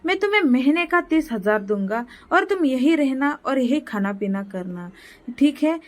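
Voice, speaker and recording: Casting: woman playing generous old man, high-pitched, gentle benefactor in a village tale. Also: animated, moderate pace. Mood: grateful, kindly. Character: kindly old grandfather, generous rich benefactor.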